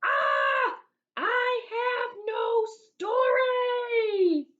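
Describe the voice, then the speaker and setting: Woman doing a frustrated, high-pitched little schoolboy. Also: very slow, narrow, tinny audio, heavily acted. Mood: exasperated shouting. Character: frustrated young schoolboy with writer's block. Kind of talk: storybook read-aloud character voice.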